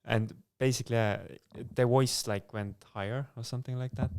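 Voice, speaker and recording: A man's voice: mid-range voice, steady tone, fairly quick, darkish tone, clear, resonant tone, no room sound, clean studio sound. A young man as a podcast guest in casual chat, easygoing and a little hesitant, often laughing between words. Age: young adult.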